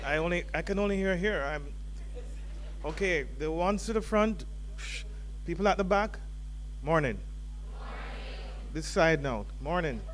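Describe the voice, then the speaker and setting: A fairly high-voiced man speaks to new students into a microphone in a lecture hall. He is informal and jokes with the crowd, with a faint hum in the background. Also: animated, unhurried, long pauses. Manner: informal, joking with the audience. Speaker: faculty dean. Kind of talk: welcome address. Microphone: handheld microphone.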